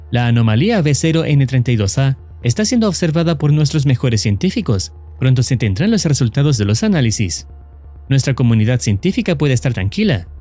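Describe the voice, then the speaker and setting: A man reads scripted narration as the narrator of a horror explainer, in a measured storytelling manner. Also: medium pitch, mellow.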